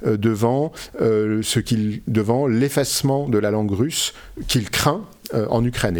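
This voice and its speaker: Man explaining in halting, scholarly speech. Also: mid-range voice, a little mellow. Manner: erudite, halting explanations with frequent self-corrections. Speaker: interviewed author and literary expert. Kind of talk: unscripted scholarly interview.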